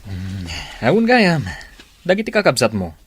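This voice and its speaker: Bossy, wildly animated Ilocano husband. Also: male voice, on the high side, a little slow, slightly rough, full character voice. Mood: stern, questioning. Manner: bossy, insistent. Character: gruff, controlling, stingy husband and father. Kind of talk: Ilocano radio drama acting.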